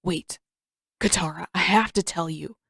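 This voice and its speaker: A woman's voice: on the low side, unhurried, gravelly, a bit bright, husky, dry recording, studio quality, full character voice. A woman voicing a sullen, proud, impatient teenage prince in an audiobook story, with a curt manner. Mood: frustrated, insistent.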